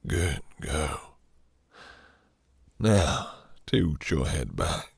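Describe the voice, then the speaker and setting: A man playing a possessive, domineering vampire master in an intimate audio roleplay, with slow, teasing, silky delivery and a breathy, gravelly murmur. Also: a bass voice, lively intonation, no room sound, heavily acted. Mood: seductive, teasing.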